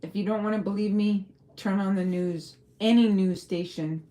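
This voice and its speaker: A woman's voice: medium pitch, steady tone, moderate pace, mellow. A woman from El Paso with a Texan accent, chatting casually on a livestream, rambling and swearing freely. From El Paso, Texas. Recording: faint music behind.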